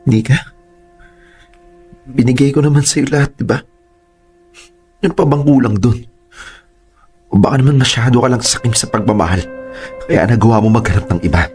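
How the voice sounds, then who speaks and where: An actor playing an angry, jealous young fiancé who fires sharp questions in a Filipino accent, in radio drama style. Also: male voice, mid-range voice, normal speed, pauses often, faint music behind, natural voice. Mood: hurt, bitter. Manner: confrontational, peppers talk with sharp questions.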